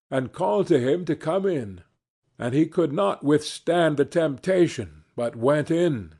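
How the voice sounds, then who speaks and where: A man reading a fairy tale aloud as a storyteller, in a measured, expressive way. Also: mid-range voice, breathy edge. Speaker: storyteller, podcast narrator. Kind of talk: scripted fairy tale narration.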